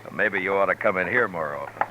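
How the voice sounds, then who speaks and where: An actor playing a steady middle-aged frontier lawman, calm and measured, in a 1950s radio drama. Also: deep voice, dull audio, noisy background, natural voice. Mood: easygoing. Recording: old radio recording with music.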